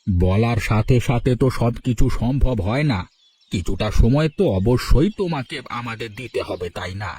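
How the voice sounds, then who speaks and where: A man voicing a patient, humble old village schoolteacher in a cartoon, who speaks gently and calmly. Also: medium pitch, moderate pace, husky. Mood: gentle. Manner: gentle, calm, pleading. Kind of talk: cartoon voice acting.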